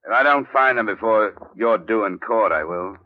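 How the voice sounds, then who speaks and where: An actor playing a wry, unflappable hard-boiled private investigator with a dry manner in an old radio drama. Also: male voice, on the low side, normal speed, close to an everyday voice. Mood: wry, unimpressed. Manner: dry, sardonic. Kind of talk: 1940s-50s radio detective acting and narration. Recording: muffled old radio recording with music.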